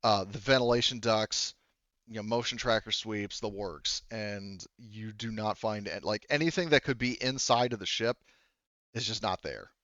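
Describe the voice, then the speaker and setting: A man running a tabletop roleplaying game as game master, narrating casually in a halting, conversational way with hedged explanations. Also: fairly low pitch, pushed and bright. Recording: dull, roomy, echoey sound.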